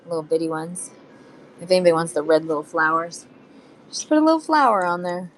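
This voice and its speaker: A woman's voice: on the low side, fairly quick, slight background noise, rough recording. A woman running a live online sale, chatting casually with buyers as she shows beads and charms, her throat a bit phlegmy. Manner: chatty, hums and sings to herself between items. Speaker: live auction seller. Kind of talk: live selling stream chat.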